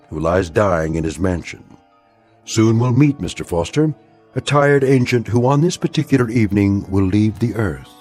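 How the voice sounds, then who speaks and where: Male narrator, low pitch, expressive swings, sardonic, ominous, scripted radio drama, faint music. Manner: sardonic, ominous, deliberate phrasing.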